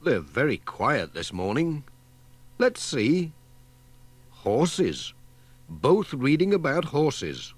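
Guy doing a nice chatty storybook postman, cheery, slowish, lots of bounce in the pitch. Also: huge pitch swings, slightly rough, full character voice. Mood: good-natured. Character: friendly, good-natured country village postman.

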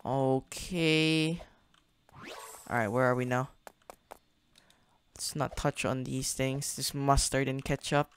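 Young man chatting over gameplay, smooth voice. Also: medium pitch, fairly even intonation, takes it slowly, pauses often. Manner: chatty, thinking aloud, slangy. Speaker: gaming channel host. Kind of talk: casual gameplay commentary.

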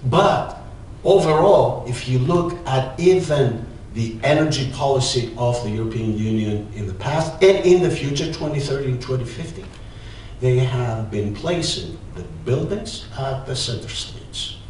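A middle-aged man with a Greek accent giving a conference talk at a lectern, often pausing with "uh". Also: medium pitch, animated, darkish tone. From Greece. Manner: hesitant, many filler "uh"s. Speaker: conference presenter. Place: large lecture hall, at a lectern. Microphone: lectern mic, filmed by a far camera. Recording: very echoey hall, distant mic, faint hiss.

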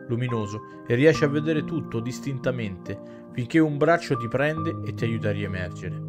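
Guy streamer reading a story game out loud, kinda theatrical, music playing behind him. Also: medium pitch. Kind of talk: dramatic reading aloud of a visual novel with asides to chat.

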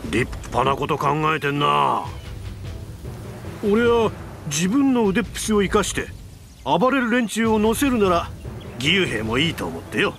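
An actor playing a burly, brash, friendly warrior in a video game, with rough, boisterous colloquial speech. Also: male voice, sits high, sing-song, unhurried, a bit bright, faint music behind, exaggerated voice. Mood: hearty, brash. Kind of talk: video game cutscene voice acting.

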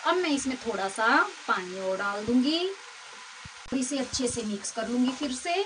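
A woman's voice: a little high, lively intonation, normal speed. A woman hosting a cooking channel, plainly explaining each step of a recipe as she cooks in her home kitchen. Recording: clear kitchen cooking noise. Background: sizzling and stirring in a pan.

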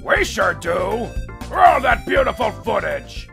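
Gravelly high-voiced male narrator. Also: very animated, slow, over music. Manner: upbeat, playful announcer delivery. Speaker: host. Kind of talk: scripted narration.

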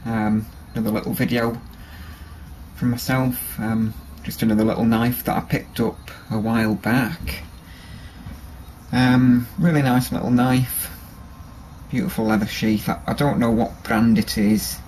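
A man casually showing a small knife in his workshop, relaxed and hesitant, with many ums and restarts, recorded on the camera's mic. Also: medium pitch, a little slow, slight background noise. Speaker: bushcraft hobbyist showing a knife. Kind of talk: casual show-and-tell. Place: workshop with a grinder and workbench.

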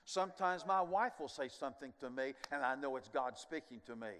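An older man preaching a sermon as pastor on a large church stage, animated and moving, dropping at times to quiet asides. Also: mid-range voice, a little fast. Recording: long church hall reverb.

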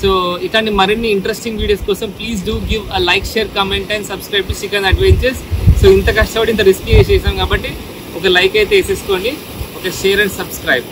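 Excitable man in his thirties, slightly high voice, vlogging on seaside rocks, steady wind and surf noise on the camera mic. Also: moderate pace. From Telangana. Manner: excitable, switches into English phrases. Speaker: fishing vlogger. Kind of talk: casual vlog narration. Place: rocky seashore at night, outdoors.

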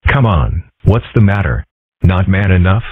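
Cartoon bully's father, man performing: brash salesman, dismissive, combative temper, low voice, dry recording. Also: very animated, a little slow, slightly rough, no room sound, phone-quality sound, full character voice. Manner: dismissive, blustering. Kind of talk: comic strip dub.

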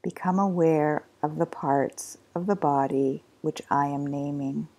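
A woman teaching a guided yoga nidra relaxation, giving slow, soft body instructions with long pauses. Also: deep voice, steady tone. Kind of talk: guided meditation.

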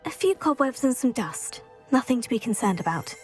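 A composed schoolgirl witch in a fantasy game. Her voice is fairly high, polite and reassuring, set against prominent music. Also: very animated, fairly quick, darkish tone. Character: calm, obliging teenage schoolgirl witch. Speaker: player character in a fantasy video game. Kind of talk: scripted game dialogue.

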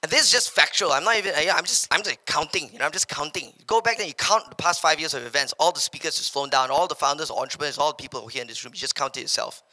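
A young Malaysian man with a Malaysian English accent, giving an energetic motivational talk on stage in a large hall through a handheld mic. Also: fairly high pitch, quick pace, hardly any pauses. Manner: energetic, paces the stage, slips into local slang when quoting others. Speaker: keynote speaker. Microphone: handheld microphone.

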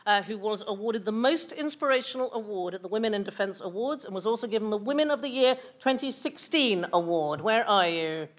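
An older woman hosting an awards lunch from a lectern in a large hall, playful and quick to joke. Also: medium pitch, animated, slight background noise. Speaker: awards host. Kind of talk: lively event hosting with jokes. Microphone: lectern microphone. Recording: hall with crowd chatter.

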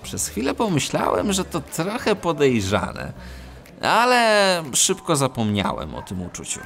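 An actor playing an earnest, eager cat detective hero who also narrates a children's audio drama. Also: male voice, fairly high pitch, huge pitch swings, unhurried, creaky, a bit bright, full character voice. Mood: reflective. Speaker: narrator and main character.

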